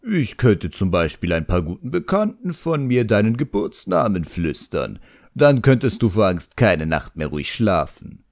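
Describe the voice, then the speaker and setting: A man's voice: lowish voice, sing-song, normal speed, darkish tone. A man voicing a sardonic, cunning ancient djinn who grumbles and mocks, in an audiobook. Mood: sly, threatening. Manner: sarcastic, grumbling. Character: sardonic, ancient male djinn, cunning, grudgingly obedient servant.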